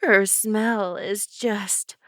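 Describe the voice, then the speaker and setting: A woman's voice: mid-range voice, steady tone, no echo. A woman playing a sly, menacing female vampire villain who teases and mocks in an ASMR audio drama. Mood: sly, amused. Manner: teasing, sly, drawn-out delivery.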